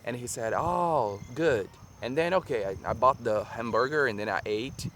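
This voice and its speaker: Brazilian man chatting outdoors in a park. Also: mid-range voice. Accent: Brazilian Portuguese. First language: Portuguese. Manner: relaxed, halting, many fillers and restarts. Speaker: vlogger. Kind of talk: casual chat to camera. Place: sunny park by a lagoon, outdoors. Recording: faint park and traffic noise outdoors.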